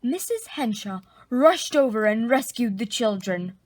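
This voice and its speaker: A woman reading a children's picture book aloud, expressively, with drawn-out dramatic emphasis. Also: on the high side, lively intonation, dry recording. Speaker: storybook reader.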